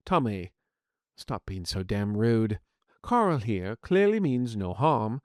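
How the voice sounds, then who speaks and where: A man voicing a civil, apologetic peacemaker, an inner personality in an audio story, speaking in a measured, genteel way. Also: on the low side, big pitch swings, no echo, studio quality, pitched below the performer's own voice. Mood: apologetic, reproving. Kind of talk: scripted audiobook character acting.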